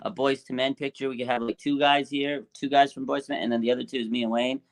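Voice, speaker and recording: A man's voice: medium pitch, little pitch movement, quick pace. A man co-hosting a sports fan show, chatting informally and agreeably, repeating himself for emphasis. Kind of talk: casual sports fan banter.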